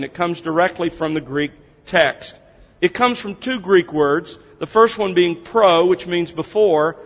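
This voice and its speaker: Preacher in an echoey hall. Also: male voice, a little high. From United States. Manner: conversational, earnest, explaining step by step. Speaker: preacher teaching a seminar. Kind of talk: seminar lecture opened with prayer. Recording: dull, reverberant hall sound.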